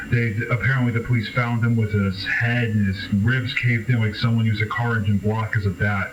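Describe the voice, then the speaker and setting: A man playing a scared, bitter nineteen-year-old street kid confessing in a horror monologue, halting and grave, with swearing and dramatic pauses. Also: on the low side, lightly acted. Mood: shaken, haunted. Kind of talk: found-recording horror monologue acting. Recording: noisy, distant, muffled amateur radio-like tape.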